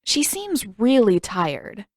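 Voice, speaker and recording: Woman voicing a gentle, reasonable young teen boy. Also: fairly high pitch, sing-song, slow, dry recording, clean studio sound, pitched above the performer's own voice, exaggerated voice. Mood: gently reasoning.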